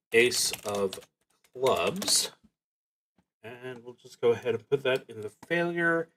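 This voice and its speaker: A man playing a solo tabletop roleplaying game on a stream, reading prompts aloud and thinking out loud in a halting, musing way. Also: mid-range voice, slight background noise, low-quality recording.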